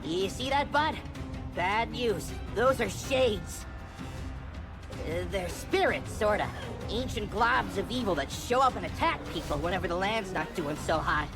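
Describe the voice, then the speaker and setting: A woman's voice: mid-range voice, expressive, unhurried. A woman voicing a small, cocky, chatty forest spirit sidekick in a video game, with slangy, wheedling talk and stammers. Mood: wary, reluctant. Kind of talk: animated video game cutscene acting. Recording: music loud behind the voice. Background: game soundtrack music.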